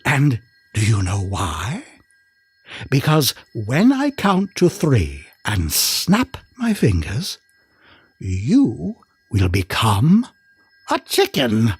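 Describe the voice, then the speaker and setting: An actor playing a theatrical stage hypnotist in an audio drama, with a grandiose, commanding manner. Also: male voice, medium pitch, wildly swooping pitch, very slow, breathy edge, no echo, full character voice. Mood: hushed, hypnotic.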